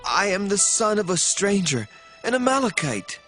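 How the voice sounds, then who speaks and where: An actor playing a young foreign messenger in a dramatized audio Bible. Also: male voice, high voice, very animated, moderate pace, a bit bright. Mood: anxious confession. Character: young Amalekite messenger, a stranger's son. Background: music.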